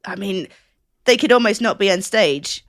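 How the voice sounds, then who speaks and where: A woman's voice: medium pitch, animated, moderate pace, a bit bright. A woman chatting casually as a podcast co-host, emphatic and free with swearing. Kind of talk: casual chat.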